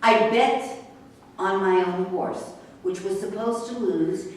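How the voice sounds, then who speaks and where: A woman's voice: mid-range voice, takes it slowly, lightly acted. A woman playing a brash, defiant racehorse trainer in a staged reading of a play. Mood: defiant, indignant. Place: echoey room at a live staged reading. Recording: echoey room from far off, faint noise.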